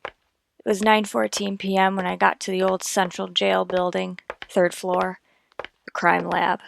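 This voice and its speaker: Woman playing a deadpan, creaky-voiced female homicide detective. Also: mid-range voice, unhurried, a little hiss or hum. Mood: flat narration. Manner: clipped, deadpan, matter-of-fact. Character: terse, businesslike woman police homicide detective. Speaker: narrator and lead actor. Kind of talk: old-time radio crime drama acting.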